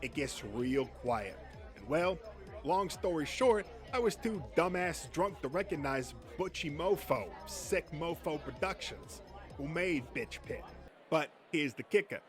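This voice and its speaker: A man's voice: on the high side, lively intonation, a little slow, music in the background. A man acting a boastful, crude male horror fan in a comic dub, telling stories with profane swagger. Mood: proud.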